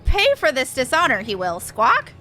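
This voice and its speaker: A woman voicing an excitable bird-beastman from a video game, speaking in inverted sentences punctuated by squawks. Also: high voice, normal speed, full character voice. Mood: vengeful. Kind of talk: game dialogue read aloud in character.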